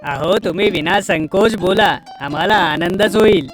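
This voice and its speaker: Lady doing an obliging office guy in a kids cartoon, polite, lowered voice, music behind. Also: a little slow, natural voice. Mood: helpful. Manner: polite, helpful. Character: obliging, courteous male office colleague.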